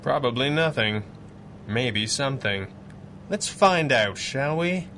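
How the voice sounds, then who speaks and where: Composed, formal radio superhero. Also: male voice, mid-range voice, animated, slight background noise. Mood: grave, thoughtful. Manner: formal, measured, gently dry. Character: composed, earnest masked superhero boss, a man. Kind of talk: 1930s radio drama acting.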